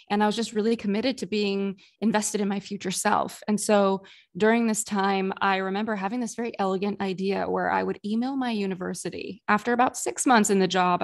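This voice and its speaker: A woman's voice: mid-range voice, creaky. A woman in her thirties, a career coach, telling her story fluently as a podcast guest. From United States. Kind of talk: casual interview storytelling.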